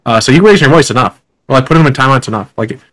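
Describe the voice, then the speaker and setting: Fast-talking man in casual chat. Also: mid-range voice, a bit bright. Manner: rambling, heavy on filler words. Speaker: podcast host. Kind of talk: casual interview conversation.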